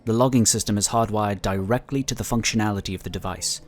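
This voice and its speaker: A man acts out a calm inquisitor of a psionic order for a sci-fi audio drama. He has a fairly low voice and speaks formally and courteously, laying things out with measured care. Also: close to an everyday voice. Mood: explaining.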